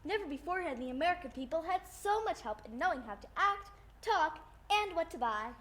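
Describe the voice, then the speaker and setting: A woman's voice: very high-pitched, expressive, brisk, a bit bright. A young teenage girl playing a theatrical, earnest co-host in a scripted old-time radio show. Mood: earnest, presentational.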